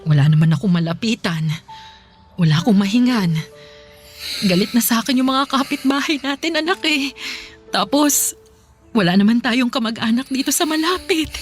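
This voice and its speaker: A high, tearful voice of a poor Filipino mother, acted by a woman in a radio drama. She pleads with remorse, halting and repeating her words, over soft background music. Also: natural voice. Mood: ashamed, pleading.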